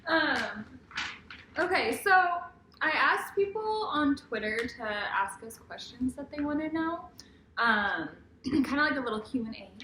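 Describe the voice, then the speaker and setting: Casual American female vlogger, late twenties, bright forceful tone, joking manner, roomy sound with faint noise. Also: sits high, very animated, unhurried. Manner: joking, informal, teasing. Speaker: vlogger, co-host of couple's Q&A. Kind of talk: casual chat, Q&A. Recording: echoey room, faint background noise.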